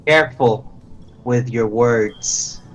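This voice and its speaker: A man's voice: medium pitch, slow, voice pushed down, lightly acted, rough recording. A man voicing a young woman adventurer who asks curt, blunt questions about her father's killer.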